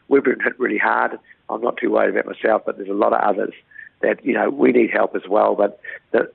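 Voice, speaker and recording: Croaky New Zealand man on phone. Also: fairly low pitch, dry recording. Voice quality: croaky, gravelly voice. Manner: earnest, rambling, repeats and restarts words. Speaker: town mayor interviewed by phone. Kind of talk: phone interview. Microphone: phone line. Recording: tinny phone line, dry.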